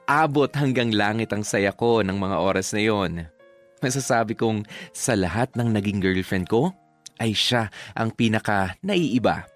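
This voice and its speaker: Man as low-voiced, sentimental lovestruck storyteller. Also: close to an everyday voice. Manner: sentimental, reflective. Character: lovestruck man narrating his past romance. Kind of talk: scripted dramatic first-person narration.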